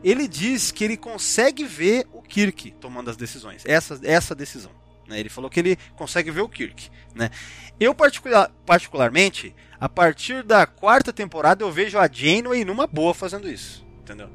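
A man's voice: sits high, big pitch swings, a little fast, fairly bright tone, faint music behind. A Brazilian man hosting a casual panel discussion, rambling and slangy as he often interrupts himself. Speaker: podcast host.

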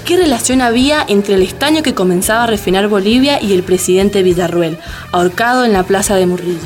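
Female radio theatre announcer, medium pitch. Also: brisk, faint music behind. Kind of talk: scripted narration and credits reading.

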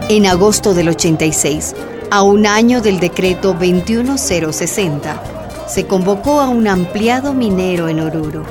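A woman narrating a scripted radio docudrama in a measured, declarative manner. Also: lowish voice, music in the background.

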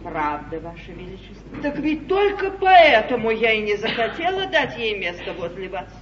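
An actress playing a domineering, shrewd middle-aged duchess at an English royal court in a 1950s stage comedy, declaiming emphatically. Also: female voice, medium pitch, very animated, normal speed, distant mic, close to an everyday voice. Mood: pleading, persuasive. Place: large theatre stage, from off. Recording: roomy, dull, noisy 1953 theatre stage recording.

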